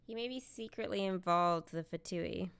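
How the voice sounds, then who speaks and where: A woman's voice: fairly low pitch, normal speed, gravelly, a bit bright, clean tone. A woman game streamer giving live commentary and reading character lines aloud as she plays.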